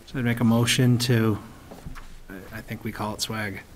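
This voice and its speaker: A man's voice: on the low side, little pitch movement, moderate pace, a little hiss or hum. Casual man making a board motion. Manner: casual, tentative. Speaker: board member.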